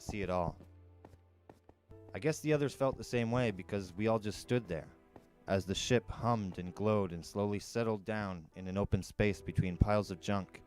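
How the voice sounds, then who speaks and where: Low-voiced man narrating over music. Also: a little mellow. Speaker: storyteller reading an audiobook. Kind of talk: dramatic first-person novel narration.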